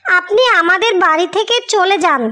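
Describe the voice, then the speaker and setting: A woman's voice: very high-pitched, normal speed, sharp tone. A woman playing a devoted, self-sacrificing sister in a cartoon fairy tale, speaking in an earnest, pleading way. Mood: firm, guarded.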